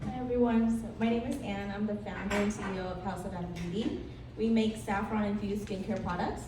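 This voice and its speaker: A woman's voice: medium pitch, steady tone, a little fast. A woman from Vietnam, introducing herself as a founder on a live panel. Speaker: company founder, panel guest. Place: indoor department store event. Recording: very echoey event room, distant mic, faint noise.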